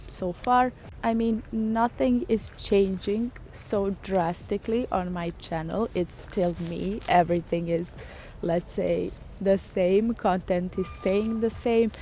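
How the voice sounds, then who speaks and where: A woman's voice: fairly low pitch, warm tone, a little hiss or hum. Serbian-accented woman vlogging outdoors. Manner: chatty, thinks aloud with fillers. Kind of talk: casual talk to camera. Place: outdoors in a city.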